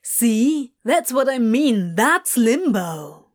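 Woman doing a halting, awkward male demon. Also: fairly high pitch, very animated, exaggerated voice. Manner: halting, mumbling, stammering. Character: lanky laid-back male demon, awkward.

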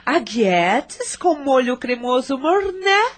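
A woman's voice: high voice, very animated, takes it slowly, exaggerated voice. An actress playing an indulgent, wealthy middle-aged mother who fusses over her daughter, in a radio drama. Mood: fussing.

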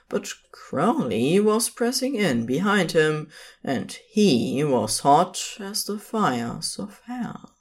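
Slow, expressive fan fiction narrator. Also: medium pitch. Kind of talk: scripted fan fiction narration, intimate storytelling.

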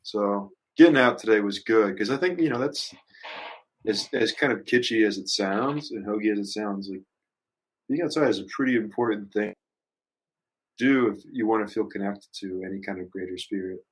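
A man in his early twenties with a fairly low voice talks as a musician being interviewed. He is casual and reflective, wandering through his thoughts in youthful slang. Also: normal speed, slightly rough, low-quality recording. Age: early 20s. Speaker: musician guest.

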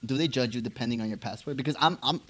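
A man from Colombia with an American accent, hosting a relaxed interview chat. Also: medium pitch, racing. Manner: relaxed, easygoing, lots of fillers. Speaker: host.

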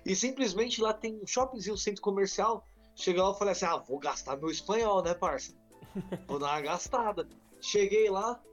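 A man's voice: very high-pitched, lo-fi sound. Young Brazilian man, podcast co-host, slangy, laid-back banter, tells little stories. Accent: Brazilian Portuguese. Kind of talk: casual sports podcast chat.

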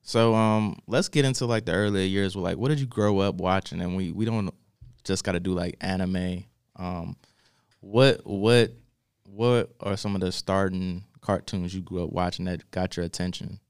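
Deep, smooth-voiced podcast co-host. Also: male voice, normal speed, no echo, clean studio sound. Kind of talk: casual chat.

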